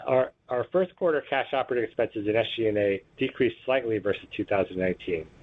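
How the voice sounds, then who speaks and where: A man's voice: mid-range voice, husky. Man on a tinny phone conference line. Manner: formal, businesslike, measured recitation of figures. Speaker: company executive presenting financial results. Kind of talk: scripted earnings call presentation, read aloud.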